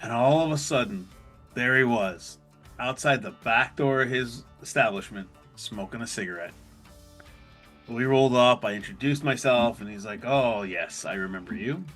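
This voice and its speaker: A man's voice: mid-range voice, normal speed, fairly bright tone, soft background music. A middle-aged man hosting a podcast alone, telling his own story in a candid, self-deprecating, conversational way. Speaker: podcast host, chef. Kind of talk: casual solo podcast storytelling.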